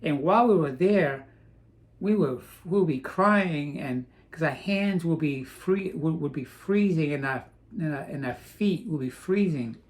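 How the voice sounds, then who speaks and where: A man's voice: on the high side, animated, measured pace. A man from Portugal chatting casually in a vlog, rambling with frequent restarts. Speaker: vlogger. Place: home in Florida.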